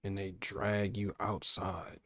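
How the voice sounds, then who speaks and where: A man's voice: sits low, flat delivery, slow pace, fairly bright tone. A man reacting casually to a video, talking to viewers in loose, profane slang.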